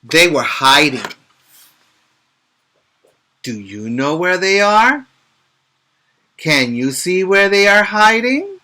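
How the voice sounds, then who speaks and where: A male teacher with a very bright voice reads a storybook aloud to preschoolers, pausing to explain and to ask the children questions. He talks very slowly and clearly, pitched slightly high, as if speaking to a virtual class. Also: sing-song. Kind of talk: reading a picture book aloud and teaching letter sounds to small children. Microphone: home video recording for virtual class.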